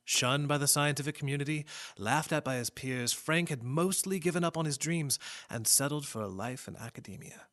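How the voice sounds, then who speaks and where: A grave doctor of science, acted by a man narrates a radio adventure serial. His voice sits a little high for a man, and he delivers everything with theatrical, portentous weight. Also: normal speed, hardly any pauses, fairly bright tone, lightly acted. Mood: wry storytelling. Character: grave doctor-researcher narrating a dying world's adventure tale. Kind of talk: dramatic radio drama narration.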